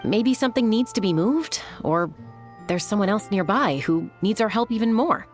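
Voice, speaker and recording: A woman's voice: mid-range voice, lively intonation, moderate pace, lightly acted. A woman playing a thoughtful, self-assured young woman in a video game, wry and earnest, with music behind.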